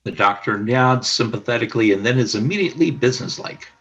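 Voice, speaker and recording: A man's voice: mid-range voice, animated, quick pace, a bit bright, dull audio. A man reading scripted narration for a radio play.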